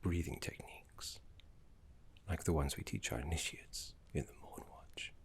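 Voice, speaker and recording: A man playing a genteel, fussy, courteous professor of necromancy in an ASMR roleplay, speaking in a soft, breathy, formal way with dramatic pauses. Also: a bass voice, lively intonation, heavily acted. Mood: soothing, encouraging.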